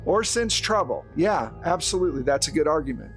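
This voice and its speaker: A man's voice: fairly high pitch, sing-song, fairly quick. A man acting as game master, narrating a tabletop horror game. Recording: music behind the voice. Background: music.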